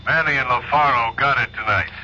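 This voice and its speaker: An actor playing a commanding, careworn police narcotics chief in a 1970s radio drama. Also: male voice, mid-range voice, brisk, pushed and bright. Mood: grave. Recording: noisy, dull 1970s radio recording.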